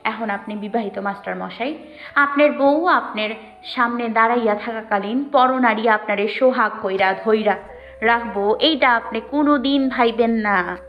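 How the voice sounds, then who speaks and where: Casting: actress as young village bride with rural Bangladeshi accent, bold and unafraid, highish pitch, faint music underneath. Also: female voice, moderate pace, lightly acted. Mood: defiant. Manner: assertive, dialect-speaking. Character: bold, smiling young village wife defending her marriage. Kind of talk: Bengali audio story acting. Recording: dull lo-fi, music behind.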